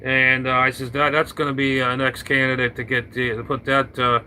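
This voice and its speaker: A man chatting casually over his radio, rambling and halting with lots of fillers and restarts, talking into an old hand mic. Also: medium pitch, flat delivery, sharp tone, a little hiss or hum, low-quality recording. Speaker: radio operator. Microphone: vintage hand mic on a radio.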